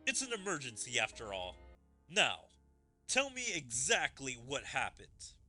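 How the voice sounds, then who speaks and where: A man acts out a stern fantasy king with a formal, commanding manner. The voice is bright and forceful, with animated swings in pitch. Game music plays softly behind the measured, authoritative lines. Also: heavily acted. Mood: grave. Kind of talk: reading game dialogue aloud in character.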